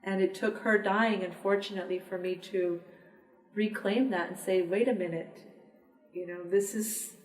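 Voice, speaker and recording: Woman, thoughtful interview guest, measured, echoey room, distant mic. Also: mid-range voice, fairly even intonation. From Texas border region. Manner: thoughtful, measured, with frequent restarts. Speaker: interview guest, poet and publisher. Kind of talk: reflective conversational interview.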